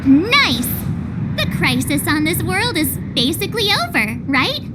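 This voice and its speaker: A woman's voice: extremely high pitch, big pitch swings, unhurried, very noisy, full character voice. An actress playing a perky, candid girl adventurer in a video game cutscene, chirpy and informal. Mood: cheerful. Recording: loud orchestral music behind the voice.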